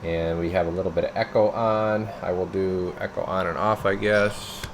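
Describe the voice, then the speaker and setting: A man's voice: low voice, moderate pace. A man casually narrating an unscripted technical demo, muttering and thinking aloud as he works. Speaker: radio technician demonstrating a CB radio. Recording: noisy room, steady hum of equipment.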